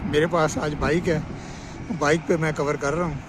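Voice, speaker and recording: Pakistani guy doing a roadside vlog update, slightly high voice, lots of traffic noise behind him. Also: little pitch movement, moderate pace. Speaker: vlogger. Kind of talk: on-site road update commentary. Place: outdoors on a roadside by construction works. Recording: loud outdoor traffic and vehicle noise. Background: traffic, vehicles, outdoor ambience.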